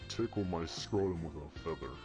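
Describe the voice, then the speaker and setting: A man trolling other players in game voice chat, slow and deadpan in a mock-seductive way. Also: deep voice, faint music behind.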